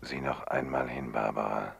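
An actor playing a calm, patient hypnotist-like questioner with a husky, gravelly murmur in a radio drama. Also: male voice, extremely low pitch, normal speed, no echo, studio quality, exaggerated voice. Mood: hushed, probing. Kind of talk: 1970s German radio drama acting.

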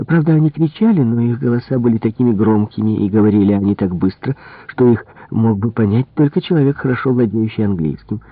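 A man voicing a chatty, indignant young French housemaid who gossips with animated exclamations. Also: lowish voice, fast talker, few pauses, dry recording, voice pushed up, natural voice. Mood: excited, indignant. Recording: muffled, phone-like narrow-band audio.

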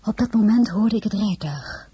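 An actress playing an anxious royal lady in a literary audio drama, with a husky, breathy voice. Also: mid-range voice, animated, normal speed, mellow, no echo. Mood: brooding. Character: anxious royal lady reading from her diary.

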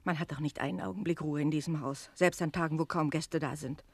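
A woman's voice: deep voice, fast, no echo. An actress playing a harried, talkative female innkeeper who tells a tale theatrically in a radio play. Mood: irritated. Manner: expressive, switching between narrating and brusque replies.

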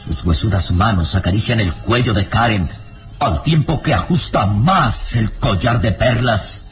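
A man's voice: lowish voice, expressive, measured pace. A man narrating a radio drama in an ominous storytelling manner. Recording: radio drama with music bed.

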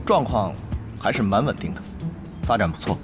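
Male actor as earnest guild leader, very lively pitch, flustered stammering, exasperated, radio drama, music behind. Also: moderate pace, close to an everyday voice. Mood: awkward, evasive. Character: earnest, easily flustered male guild president in online game. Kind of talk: Chinese radio drama acting.

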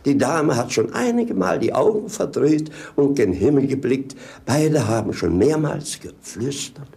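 Animated, gravelly mocking jester. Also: male voice, fairly high pitch, full character voice. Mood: wry, mocking. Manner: mocking, declamatory, theatrical. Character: mocking, exuberant male jester companion of a prince. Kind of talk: 1950s German radio play acting. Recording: 1950s radio play recording.

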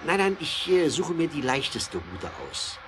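A man's voice: fairly high pitch, big pitch swings, measured pace, a bit bright, a little hiss or hum. A man acting an adventurous aristocratic motorist in a German radio play, thoughtful, confident and resolute. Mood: pondering, resolute.

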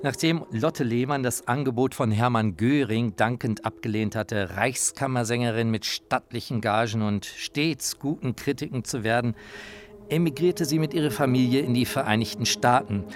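A man's voice: mid-range voice, normal speed. A man narrating a radio feature in a colloquial, anecdotal storytelling manner.

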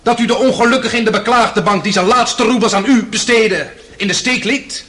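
High-voiced, forceful courtroom lawyer. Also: male voice, normal speed. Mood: accusing. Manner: formal, pressing, rhetorical. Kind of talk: radio drama acting.

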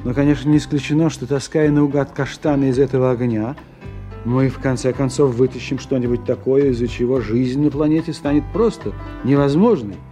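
Radio-play scientist male actor: aloof sceptic, dark relaxed mid-range voice, precise and detached, music underneath. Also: mid-range voice, brisk, natural voice. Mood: detached, dry.

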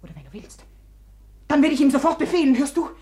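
A proud, desperate young woman, voiced by an actress in an old radio play, taunting one moment and dropping to a whisper the next. Her voice sits high and bright. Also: fast talker, lightly acted. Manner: theatrical, swinging between taunting and whispering. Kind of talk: 1950s radio drama acting.

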